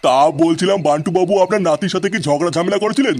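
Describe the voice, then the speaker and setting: A man's voice: high-pitched, rapid-fire, soft background music. A man voicing an exasperated, dismissive police officer in a comic cartoon. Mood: questioning. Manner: questioning, dismissive.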